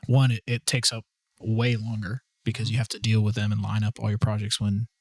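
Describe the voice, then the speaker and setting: A man's voice: fairly low pitch, completely flat delivery, brisk, a little mellow, no room sound, clean studio sound. A man co-hosting a casual podcast, upbeat and agreeable as he asks questions. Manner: agreeable, upbeat, lots of affirming interjections. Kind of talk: casual conversational podcast talk.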